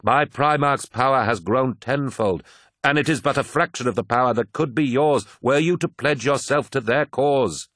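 A man voicing a sly, sneering warrior priest of dark sorcery in an audiobook, a persuasive tempter with a deliberate, insinuating manner. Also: mid-range voice, no room sound, clean studio sound, pitched above the performer's own voice, close to an everyday voice. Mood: zealous, tempting.

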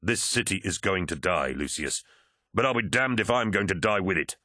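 A man's voice: sits low, animated, normal speed, sharp tone, no echo, clean studio sound. A man voicing a loyal soldier captain in an audiobook, grave and earnest as he delivers grim orders. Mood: determined.

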